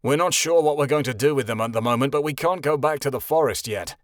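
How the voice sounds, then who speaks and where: Guy doing a snarly gruff husband, basically his own voice. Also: mid-range voice, fast talker, dry recording, clean studio sound, lightly acted.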